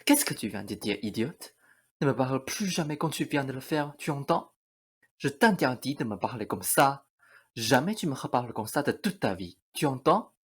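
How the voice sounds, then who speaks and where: A domineering, vain mother from a fairy-tale radio play, acted by a woman. Her voice is low and husky, and her delivery is theatrical and calculating. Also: sing-song, moderate pace, heavily acted. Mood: furious, contemptuous. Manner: scolding and cursing at her daughters, obsequious to superiors. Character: domineering, vain, scheming mother, fawning before royalty.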